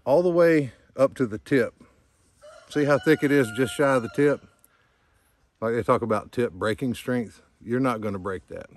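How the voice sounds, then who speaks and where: An older man with a plain, middle-pitched voice talks tools in a folksy, unhurried way. He is recorded outdoors among trees on a lapel mic. Faint noise sits behind him. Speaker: outdoors skills guest. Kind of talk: casual outdoor chat. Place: wooded yard outdoors.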